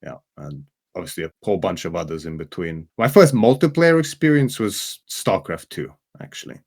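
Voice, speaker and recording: Young man from romania, very low voice, easygoing chatty interview guest, restarts his sentences. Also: moderate pace, creaky. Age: 20s. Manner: relaxed, rambling, frequent restarts and "right?" tags. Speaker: interview guest, game caster and player. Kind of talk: casual interview chat.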